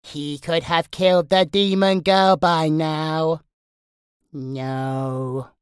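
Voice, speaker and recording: Demonic entity, horror audio drama, low-pitched, very slow, singsong, gleefully taunting. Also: female voice, fairly even intonation, smooth, no echo, clean studio sound. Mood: mocking, playful. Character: gleeful, sinister demonic entity calling itself "we". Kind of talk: horror audio roleplay, theatrical monologue.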